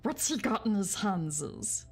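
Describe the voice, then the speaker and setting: A woman's voice: mid-range voice, big pitch swings, takes it slowly, slightly rough, faint music behind, voice pushed up, exaggerated voice. A woman doing a hissing, slimy cave creature. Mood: suspicious. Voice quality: hissing whisper. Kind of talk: storybook reading.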